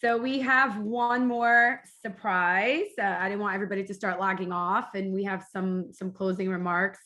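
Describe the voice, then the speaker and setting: A woman's voice: medium pitch, steady tone, moderate pace, sharp tone. A woman with a Portuguese accent hosting an online meeting, friendly with frequent fillers. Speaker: meeting host. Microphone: computer mic on a video call.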